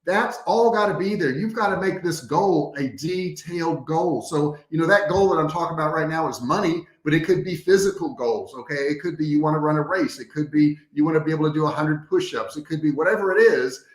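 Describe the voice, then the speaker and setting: A man's voice: a little high, brisk, few pauses. A man giving a motivational coaching talk to a live online audience, direct and conversational, repeating key points for emphasis. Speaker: business coach, host of a livestream training. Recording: echoey, roomy sound.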